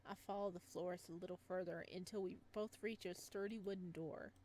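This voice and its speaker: A woman reading a visual novel aloud, calm in the narration and quick to break into startled, animated reactions, with faint music behind her. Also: lowish voice, slight background noise, low-quality recording.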